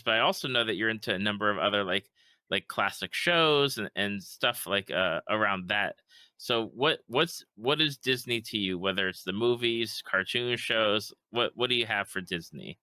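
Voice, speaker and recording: A man's voice: on the low side, moderate pace, bright, forceful tone, smooth, no room sound. A man hosting a podcast, asking questions casually with frequent restarts. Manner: conversational, stumbling restarts. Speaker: podcast host. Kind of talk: casual interview chat.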